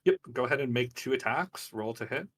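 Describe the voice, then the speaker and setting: A man's voice: mid-range voice, brisk, fairly bright tone, husky, distant mic. A man acting as game master, casually narrating players' actions and scenes in a tabletop game.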